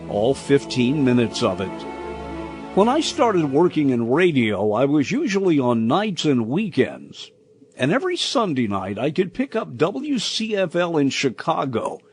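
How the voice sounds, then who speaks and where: An older American man reading a scripted, nostalgic personal commentary in a deliberate, expressive storytelling manner. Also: fairly high pitch, husky. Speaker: radio commentator.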